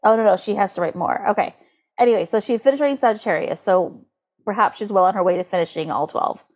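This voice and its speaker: A woman co-hosting a podcast in casual comedic chat, animated and giggly, sprinkling her sentences with "like". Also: mid-range voice, quick pace. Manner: animated, giggly, uses "like" constantly. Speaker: podcast co-host. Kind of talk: casual comedic chat, recapping and reading aloud.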